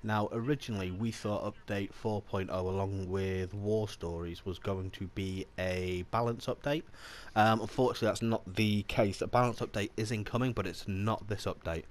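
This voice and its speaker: A man with a bad cold, slightly nasal, hosting a casual gaming channel update in a chatty, relaxed way. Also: on the low side, moderate pace. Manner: chatty and relaxed, with small laughs and restarts.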